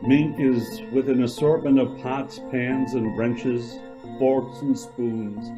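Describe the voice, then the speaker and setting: A man narrating an old-time radio suspense drama in a theatrical, measured storytelling manner. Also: medium pitch, steady tone, dull audio, close to an everyday voice. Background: music.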